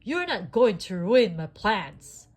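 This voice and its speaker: A woman voicing a bitter male prospector toy, a storybook villain making slow, emphatic threats. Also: medium pitch, big pitch swings, husky, voice pushed up, full character voice. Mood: menacing.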